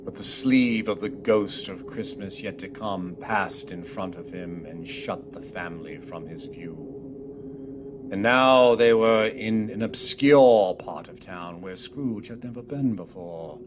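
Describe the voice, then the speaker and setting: A man playing the solemn narrator of a ghost tale in a radio play, grave and deliberate. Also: low-pitched, noisy background. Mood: grave, dramatic. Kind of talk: dramatic scripted narration.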